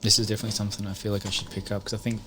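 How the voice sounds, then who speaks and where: A man's voice: fairly low pitch, little pitch movement, very fast, sharp tone, slight background noise. A man chatting and bantering casually as a player in a tabletop role-playing game.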